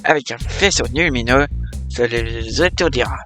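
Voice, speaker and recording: A man reading aloud the lines of an elderly, eccentric male ghost-hunting scientist from a video game, in a halting, put-on accent. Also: mid-range voice, fairly bright tone, natural voice. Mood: instructive. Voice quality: halting reading of on-screen dialogue. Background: game music.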